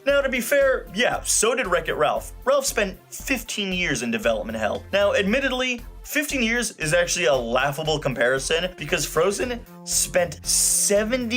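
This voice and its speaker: A man's voice: high-pitched, very animated, moderate pace, few pauses, fairly bright tone. A man giving an opinionated, scripted video review in an emphatic, sarcastic, casually profane way. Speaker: film reviewer. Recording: music playing behind the voice. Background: background music.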